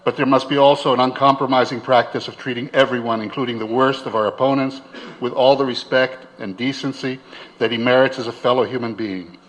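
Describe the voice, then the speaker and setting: A man reading a written political text aloud with declamatory, deliberate phrasing. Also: mid-range voice, steady tone, poor audio. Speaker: reader. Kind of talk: dramatic reading of a political editorial.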